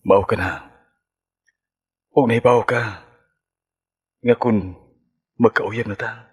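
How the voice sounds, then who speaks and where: A man's voice: fairly low pitch, fairly even intonation, slow pace, slightly rough, exaggerated voice. A man with a Cebuano accent acting an earnest, sympathetic suitor, speaking sincerely and measuredly in a radio drama. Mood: tender, earnest. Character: earnest, sympathetic suitor courting a married woman.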